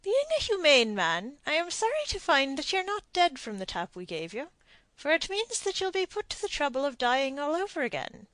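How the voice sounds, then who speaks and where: A woman's voice: sits high, very animated, normal speed, fairly bright tone, no room sound, voice pushed up. A woman reading an audiobook and voicing a self-assured, mocking sea captain with a dry wit. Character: sardonic witty Irish sea captain, man. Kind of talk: audiobook character voice.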